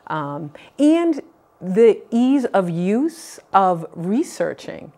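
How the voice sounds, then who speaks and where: A middle-aged woman from Boston, a political candidate answering questions in a TV studio interview, earnest and measured, recorded on a lapel mic. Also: mid-range voice, big pitch swings, warm tone. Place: TV news studio.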